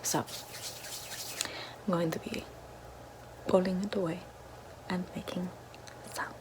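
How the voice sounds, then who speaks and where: A young woman doing a parody ASMR role-play, whispering in a deadpan, mock-mystic way into a close camera in a small bedroom. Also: on the low side, slow pace, noisy background. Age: young adult. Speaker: ASMR creator. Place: small bedroom with floral backdrop, indoors.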